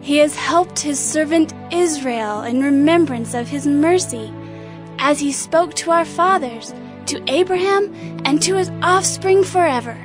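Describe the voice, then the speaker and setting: A humble young maiden in an audio Bible drama. Her high voice recites with lyrical devotion, and music plays clearly behind her. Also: a little slow. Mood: reverent joy.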